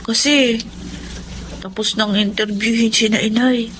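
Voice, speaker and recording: A woman's voice: fairly high pitch, fairly even intonation, unhurried, noisy background, natural voice. An actress playing a caring, wistful young girl, an elder sister, in a Filipino radio drama. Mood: wistful, hopeful.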